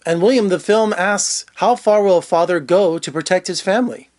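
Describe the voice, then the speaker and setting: Upbeat film critic hosting an interview. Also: male voice, high-pitched, moderate pace, darkish tone. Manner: upbeat, promotional. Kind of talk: interview host.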